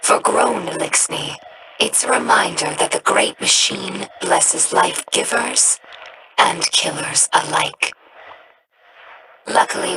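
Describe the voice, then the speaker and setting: A woman voicing a young female alien scribe in a game, earnest and devout, speaking in a measured, reverent way. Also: fairly low pitch. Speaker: game character. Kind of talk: game voice lines. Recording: noisy game audio rip.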